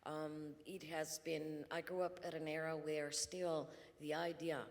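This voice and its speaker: A woman from Greece speaks as a panelist at a conference, in a measured way with frequent ums and uhs. Her voice sits low. Long reverb from a large hall trails each phrase. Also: little pitch movement, vocal fry.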